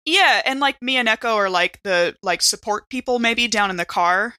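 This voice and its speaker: Woman player in a tabletop game, bright forceful voice, dry close sound, chatty and casual. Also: a little high, normal speed, clean tone, no room sound. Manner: informal, chatty, hedging with "kind of" and "like". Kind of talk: casual improvised game narration and banter.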